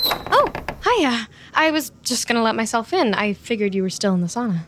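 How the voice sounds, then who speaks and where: A woman's voice: on the high side, sing-song, normal speed, noisy background. An actress playing an eager, awkward, chatty young woman in an audio drama, both confiding narration and dialogue. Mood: nervous, hopeful. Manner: chatty, hesitant, nervously upbeat. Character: eager, awkward, clingy young woman, human best friend of a vampire.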